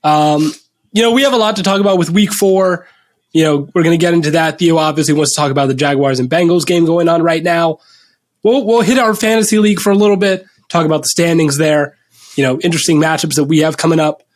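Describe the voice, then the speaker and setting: A man's voice: fairly high pitch, fast talker. A young man hosting a casual sports podcast, upbeat and joking as he runs the show. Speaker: podcast host.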